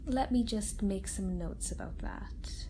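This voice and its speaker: A woman playing a kind research doctor in a soft-spoken ASMR roleplay, talking gently, hesitantly and reassuringly to an alien patient. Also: medium pitch, slow pace, natural voice. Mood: encouraging. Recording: steady ambient noise bed. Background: sci-fi room ambience.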